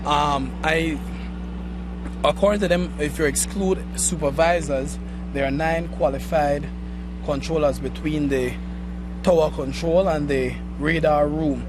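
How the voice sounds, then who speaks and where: Male spokesman, fairly high voice, measured interview delivery, music clearly heard behind. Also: steady tone. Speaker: association president, interviewee. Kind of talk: news interview statement.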